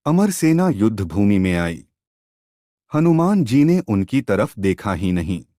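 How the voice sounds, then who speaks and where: A man's voice: lowish voice, big pitch swings, measured pace, dark tone, no room sound, clean studio sound. A man telling a devotional mythological story as a storyteller.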